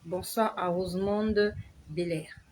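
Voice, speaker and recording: Earnest woman preaching slowly to viewers. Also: fairly low pitch, steady tone, darkish tone, slight background noise. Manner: earnest, repetitive, slow emphatic phrasing. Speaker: online preacher. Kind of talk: devotional exhortation to viewers.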